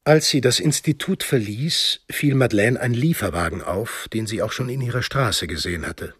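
A man's voice: medium pitch, animated, moderate pace, fairly bright tone, husky, no echo, studio quality. A man narrating a radio drama from a script.

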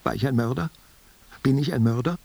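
An actor playing a guilt-haunted war veteran confessing to his lover in a halting, self-questioning monologue. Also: medium pitch, gravelly, over loud music, natural voice. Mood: accusing, tormented. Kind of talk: literary audio drama monologue.

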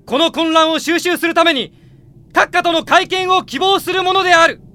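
An actor playing a noble young prince, proclaiming formally in a raised voice in a radio drama. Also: male voice, very high-pitched, moderate pace, over music. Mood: formal proclamation.

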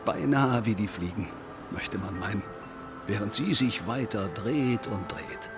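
An actor playing a laconic undertaker in a German radio drama, speaking in a dry, detached, deliberate manner with morbid musing. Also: male voice, fairly low pitch, over music. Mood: brooding, musing.

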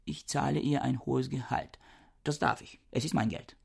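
An actor playing a reclusive, irritable scholar in a radio play, stiff and disdainful, breaking into agitated outbursts. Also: male voice, medium pitch, steady tone, normal speed, vocal fry, slightly rough. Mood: scornful. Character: bookish reclusive male scholar, irritable, scornful.

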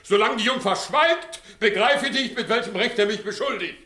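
Pompous, guilty village judge, high sharp voice, 1960s radio play in a roomy studio. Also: male voice, moderate pace. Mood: pompous, defiant. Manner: pompous, formal, stammering under pressure. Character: blustering, evasive, guilty village judge on the defensive. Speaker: actor.

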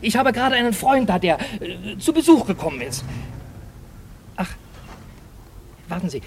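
An actor playing an eccentric, quarrelsome old junk dealer in a radio play, fussy and theatrical, repeating himself. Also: male voice, sits high, very animated, moderate pace, slight background noise. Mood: fussy, secretive.

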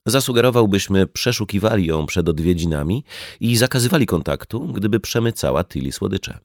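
A man reading a nonfiction audiobook in a measured, articulate way. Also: on the low side, lively intonation, no room sound, clean studio sound. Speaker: audiobook narrator. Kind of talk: scripted nonfiction audiobook narration.

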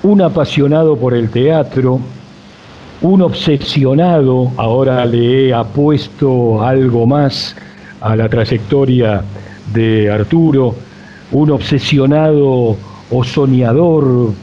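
A man with an Argentine accent, a radio interviewer speaking in short, halting phrases with pauses. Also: mellow. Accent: Argentine Spanish. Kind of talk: unhurried interview talk. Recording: muffled, low-fi with faint noise.

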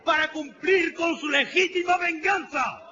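An actor playing a vengeful revolutionary tavern keeper giving oratorical, impassioned testimony in a radio drama. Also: male voice, very high voice, unhurried, crowd noise. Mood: vengeful, declaiming. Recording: dull, noisy radio drama recording.

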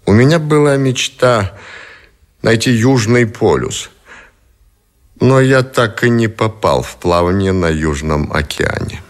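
Middle-aged captive admiral, low voice, lively intonation, dignified, formal, radio play. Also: male voice. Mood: dignified, reflective. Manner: measured, formal, dignified testimony.

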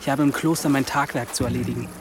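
An actor in a radio drama playing an impatient young monk with a dry, exasperated manner. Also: male voice, medium pitch, steady tone, quick pace, background noise, lightly acted.

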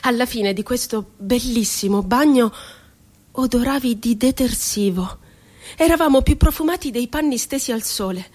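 A woman's voice: a little high, moderate pace, a little mellow, close to an everyday voice. An actress playing an ironic, wounded woman poet confined in an asylum, telling her story expressively in a radio theatre monologue. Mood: wry, mock-innocent.

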